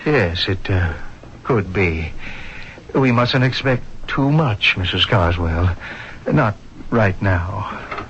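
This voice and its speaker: Deep-voiced, grave doctor. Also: male voice, lively intonation, fairly bright tone, slight background noise, heavily acted. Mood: cautious. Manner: measured, firm. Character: grave, cautious hospital doctor. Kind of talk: 1970s radio drama acting. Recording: dull 1970s radio recording.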